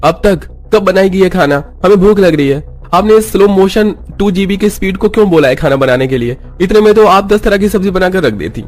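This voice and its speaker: Man voicing an impatient youngster, higher-pitched. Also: animated, brisk, darkish tone. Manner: complaining. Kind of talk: Hindi cartoon story dubbing.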